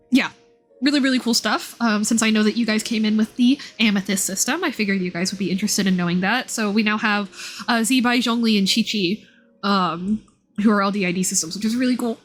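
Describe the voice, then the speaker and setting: A woman's voice: mid-range voice, fairly bright tone. A woman chatting casually as a livestreamer, commenting on a game in an informal, talkative way and often circling back to rephrase. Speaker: streamer and VTuber model creator. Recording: game music playing behind the voice. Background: video game music.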